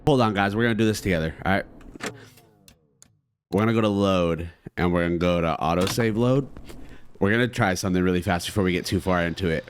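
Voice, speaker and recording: A man commentating casually on a video game he is playing, talking in an animated, slangy way. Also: low-pitched, fast, slight background noise. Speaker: gaming video creator.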